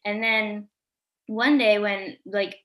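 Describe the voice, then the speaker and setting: A woman's voice: mid-range voice, steady tone, slow pace, a bit bright. A sixteen-year-old girl co-hosting a podcast, chatting casually and saying "like" a lot. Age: teens. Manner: chatty, lots of "like". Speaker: podcast co-host.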